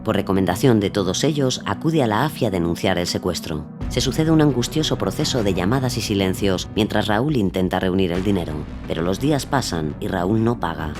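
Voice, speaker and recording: A man's voice: lowish voice, expressive, a little fast, over music. A man narrating a true-crime audio documentary in a scripted style.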